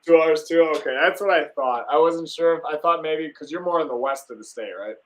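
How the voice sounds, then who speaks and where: A man's voice: a little high, a little fast, rough recording. A young man from Wisconsin hosting a casual live stream, talking enthusiastically and rambling with plenty of fillers and restarts.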